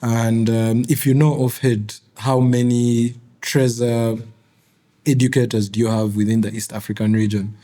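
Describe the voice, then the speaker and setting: A man interviewing a guest on a podcast, with a dark, mellow tone and a middle pitch. He keeps his questions short and easygoing and drops in quick affirmations while the guest talks. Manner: relaxed, brief questions and backchannels.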